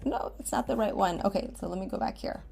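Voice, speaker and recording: An American woman with a medium-pitched voice talks as a writing teacher in a screen tutorial. She gives simple, step-by-step instructions and often checks in with a short okay. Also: quick pace, creaky, a little mellow, a little hiss or hum. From Washington State, United States. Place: indoors at a computer, screen recording with webcam.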